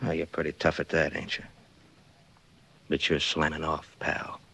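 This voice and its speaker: Low-voiced, menacing American gunman. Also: monotone, normal speed, gravelly, sharp tone, husky, exaggerated voice. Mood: sneering. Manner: taunting, slangy tough-guy talk. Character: menacing bald gunman, cold small-time killer. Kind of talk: 1940s radio acting. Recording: dry 1940s radio drama recording.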